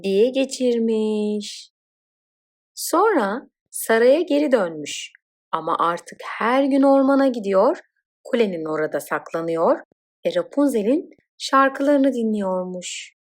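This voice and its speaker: Slow, lively woman storyteller, fairly high. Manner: expressive, dramatic storytelling. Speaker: storyteller, narrator. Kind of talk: scripted children's fairy tale narration.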